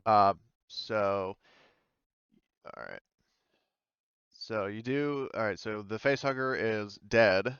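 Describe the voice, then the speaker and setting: A man's voice: on the low side, animated, takes it slowly, pauses often, a bit bright, clean tone, no room sound, muffled recording. A man acting as game master, narrating a tabletop game casually and hesitantly as he thinks his rulings through aloud.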